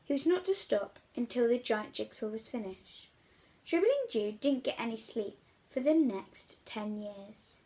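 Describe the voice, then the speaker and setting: A woman reading a children's story aloud with expressive, dramatic emphasis. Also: fairly high pitch, unhurried. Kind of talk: animated read-aloud storytelling for young children.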